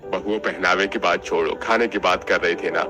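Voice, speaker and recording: Man playing firm, low-voiced older father-in-law. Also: normal speed, sharp tone, soft background music. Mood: sardonic. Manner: decisive, sardonic.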